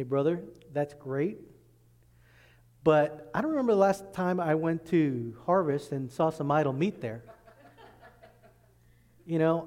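A man preaching a sermon as pastor, working through scripture and acting out quoted speech in lively voices. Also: fairly high pitch. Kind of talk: expository sermon. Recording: long reverb in a large hall.

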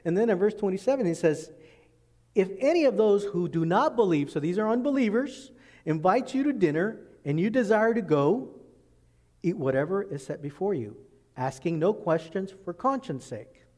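Male pastor, slightly high voice, acts out quotes, long hall reverb. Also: lively intonation, moderate pace, warm tone. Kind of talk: expository sermon.

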